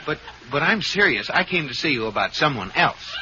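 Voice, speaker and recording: Worried husband, stammering, lively pitch, noisy old radio broadcast. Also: male voice, fairly quick, dull audio. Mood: flustered. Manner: stammering, flustered. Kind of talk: 1940s radio comedy acting.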